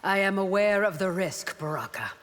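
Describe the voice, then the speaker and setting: An actress playing a proud, loyal and devout royal bodyguard in a video game, composed, formal and stern. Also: female voice, mid-range voice, lively intonation, moderate pace, natural voice. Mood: composed, firm. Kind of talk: video game character acting. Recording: long reverb with faint noise.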